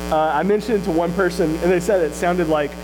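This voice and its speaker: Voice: medium pitch, fairly even intonation, quick pace. A guest preacher delivering a sermon in a church, a bit stuffed up from a cold, earnest and conversational with touches of humor. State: congested from a cold. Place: church sanctuary. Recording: loud electrical hum behind the voice.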